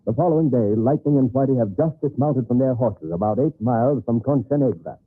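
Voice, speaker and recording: A man's voice: mid-range voice, lively intonation, fairly quick, slight background noise, natural voice. A man narrating a scripted old-time radio western as its announcer. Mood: dramatic narration. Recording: tinny band-limited old radio recording.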